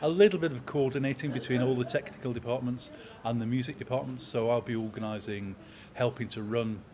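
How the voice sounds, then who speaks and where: Man, 60s, company manager type, measured storyteller, busy crowd murmur behind. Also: medium pitch, fairly even intonation. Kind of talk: interview. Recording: crowd chatter in background.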